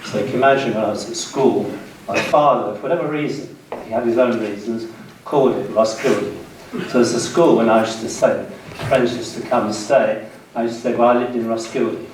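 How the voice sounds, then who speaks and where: A man in his sixties with a British accent gives a public history lecture in a measured way, pausing between short phrases. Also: mid-range voice, darkish tone. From United Kingdom, west of London. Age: 60s. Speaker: guest lecturer. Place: indoor seminar room, speaking to an audience. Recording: very echoey room, distant mic, faint noise.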